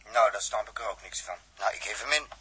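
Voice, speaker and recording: An actor playing a practical, good-natured astronaut crew leader in a 1960s radio drama, talking in a brisk, colloquial way. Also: male voice, fairly low pitch, bright, forceful tone, slightly rough, exaggerated voice. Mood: relaxed, explaining.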